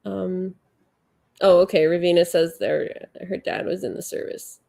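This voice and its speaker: A woman's voice: on the low side, steady tone, unhurried, vocal fry, dark tone. A congested woman in her forties hosting a casual livestream at home, rambling and chatty as she reads viewer comments. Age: 40s. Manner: chatty, rambling, with frequent fillers. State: congested, sniffling. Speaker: livestream host. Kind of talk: casual live chat, reading viewer comments.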